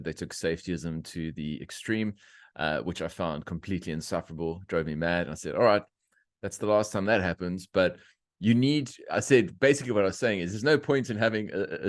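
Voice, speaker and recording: A middle-aged man from South Africa hosting a podcast, talking in opinionated, animated rants with laughter. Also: sits low, dry recording, clean studio sound. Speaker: podcast host. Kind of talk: casual interview chat.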